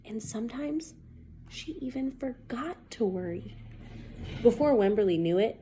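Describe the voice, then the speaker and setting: A woman reading a children's picture book aloud as a teacher, expressive and deliberate, pausing to put questions to young listeners. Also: on the high side, slight background noise.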